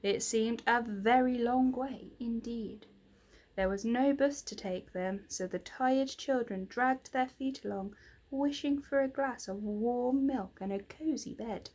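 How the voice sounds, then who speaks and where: A woman reading a children's story aloud in an expressive storytelling manner. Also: fairly high pitch, measured pace, poor audio. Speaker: reader of children's stories. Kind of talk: expressive scripted storybook narration.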